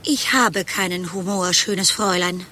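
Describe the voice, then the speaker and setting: Bright, tense, solemn young woman. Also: fairly low pitch, measured pace. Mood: dry, aloof. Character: earnest, tormented, humourless young woman in crisis. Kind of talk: German radio play acting.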